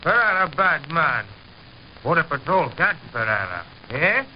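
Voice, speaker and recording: A man playing an evasive, excitable Mexican smuggler with a Mexican accent and broken English in an old radio drama. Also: fairly high pitch, unhurried. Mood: evasive, aggrieved. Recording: muffled, noisy old radio recording.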